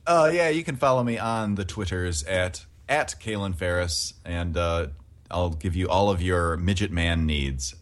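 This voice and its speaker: A man's voice: sits low, measured pace. A man giving a casual, joking plug on a podcast. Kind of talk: casual chat.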